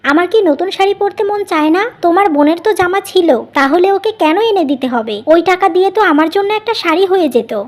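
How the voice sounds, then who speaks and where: A woman's voice: extremely high pitch, lively intonation, a little fast, a little mellow. A woman voicing a resentful, complaining young married woman in a cartoon story. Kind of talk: Bengali cartoon story voice acting.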